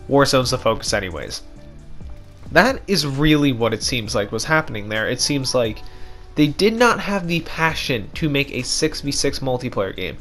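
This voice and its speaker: A young man talks through a scratchy, hoarse throat in a casual gaming commentary. His delivery is a frustrated, repetitive rant at a middling pitch. Soft background music plays beneath him. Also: expressive, normal speed.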